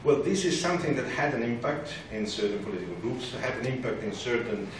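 Male, 50s, halting formal panel speaker, heard from off in a very reverberant meeting room. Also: medium pitch. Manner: halting, hesitant delivery with frequent repeated words. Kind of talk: political round-table address. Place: large parliament meeting room, indoors. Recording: roomy, distant, noisy conference recording.

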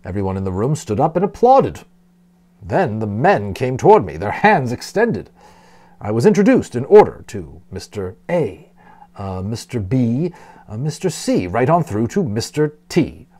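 Male audiobook narrator, expressive and dramatic, soft-edged tone, big swings in intonation. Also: mid-range voice, unhurried. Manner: dramatic, with brief explanatory asides. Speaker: audiobook reader, storyteller.

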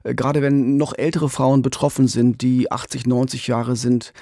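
A middle-aged man talks as a true-crime podcast host. His voice sits at an average pitch, recorded close and dry in a small radio studio. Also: little pitch movement, moderate pace, warm tone, no room sound. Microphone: close studio microphone with pop filter.

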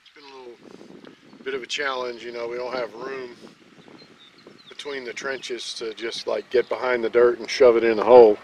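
A man's voice: medium pitch, level tone, normal speed, long pauses, darkish tone, a little hiss or hum, rough recording. A man casually narrating his own outdoor project, easygoing and rambling, recorded on a handheld camera in an open field.

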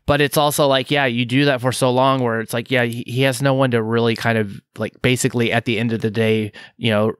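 Halting man in a dry close mic. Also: mid-range voice, clean tone, dry recording. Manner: halting, many fillers and restarts. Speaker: podcast co-host. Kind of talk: casual podcast chat.

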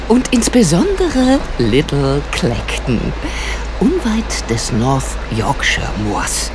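A loyal lady sidekick in a radio play, with a lowish voice that rises and falls a lot. She is wry and grumbles dryly, over clear background noise. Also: wildly swooping pitch, takes it slowly, full character voice. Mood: wry, agreeable. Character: loyal, grumbling, food-loving lady companion and driver. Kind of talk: German radio play dialogue. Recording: clear vehicle and ambience noise.

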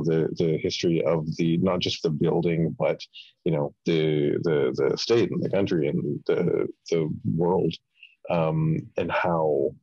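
Low husky man on a video call. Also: darkish tone, no room sound. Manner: halting, many restarts and fillers. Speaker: playwright. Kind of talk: casual interview on a video call. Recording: dull video call audio.

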